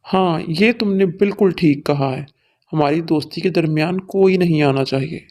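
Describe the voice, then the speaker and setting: A man's voice: on the high side, moderate pace, a little mellow, natural voice. A man voicing a loyal, protective young college man who stands by his friend in a cartoon moral story. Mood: earnest.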